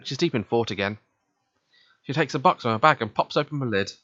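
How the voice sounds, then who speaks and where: A man's voice: mid-range voice, expressive, a little fast, fairly bright tone, dry recording, lo-fi sound. A man reading a visual novel aloud, narrating in the first person and voicing its dialogue.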